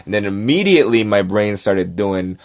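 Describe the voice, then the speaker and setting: Low-voiced guy on a podcast, talks fast and animated, lots of "like"s. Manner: animated storyteller, quick bursts, frequent fillers. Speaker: podcast co-host. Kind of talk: casual chat.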